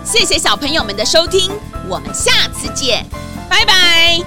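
A woman's voice: very high-pitched, sing-song, normal speed. A woman with a Taiwanese accent narrating a story for children, speaking straight to young listeners in a singsong manner. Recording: music under the voice.

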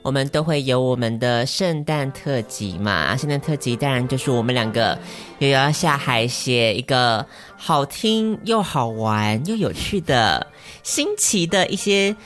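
A woman's voice: a bass voice, lively intonation, a little slow, faint music behind. A woman with a Taiwanese Mandarin accent co-hosting a podcast, moving between casual banter and storytelling. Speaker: podcast co-host.

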